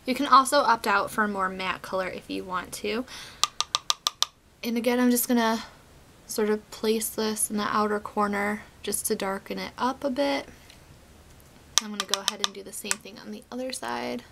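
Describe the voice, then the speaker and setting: A woman's voice: mid-range voice, moderate pace, gravelly, heavy background noise. A woman in her twenties getting over a cold, chatting casually through a makeup tutorial with lots of "um" and "like", recorded on a close webcam in a small bedroom. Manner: chatty, relaxed, many fillers like "um" and "like". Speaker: beauty vlogger.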